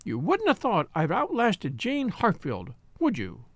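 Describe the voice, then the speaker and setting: A man's voice: sits high, wildly swooping pitch, measured pace, no echo, pitched above the performer's own voice, exaggerated voice. A man reading aloud and voicing a blunt, triumphant elderly spinster in a gossipy, quavering manner. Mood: triumphant gossip.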